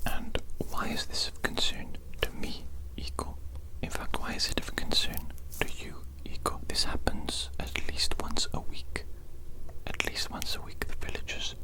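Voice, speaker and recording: A performer voicing a smug, pompous evil mad doctor in a breathy, deliberate whisper for an ASMR roleplay. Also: natural voice, poor audio. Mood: scornful, exasperated. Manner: deliberate, repetitive, pompous villain musing. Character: smug evil mad doctor, condescending yet softly patient.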